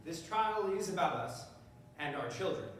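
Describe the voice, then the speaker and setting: An actor plays a persuasive defense attorney speechmaking on stage. His delivery is oratorical and widely swinging in pitch. He sounds distant, in a very echoey room. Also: expressive, a bit bright. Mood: earnest, persuasive. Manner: oratorical, deliberate emphasis and repetition. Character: earnest, persuasive defense attorney addressing a jury. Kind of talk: readers theater courtroom speech. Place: large echoey room, live stage performance. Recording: far off, very echoey hall, faint noise.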